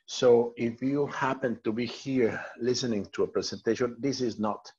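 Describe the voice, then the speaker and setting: A man's voice: mid-range voice, moderate pace, a little mellow, breathy edge, dull audio. A man from Mexico with a Mexican Spanish accent, Spanish his first language, giving an online training talk with many fillers and restarts. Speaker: network marketing trainer.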